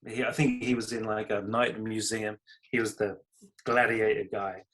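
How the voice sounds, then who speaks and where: A man's voice: on the low side, little pitch movement, normal speed, a bit bright. A man with a British accent, talking casually and modestly about his own creative work as an interview guest. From United Kingdom. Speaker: podcast guest, comedy writer and creator.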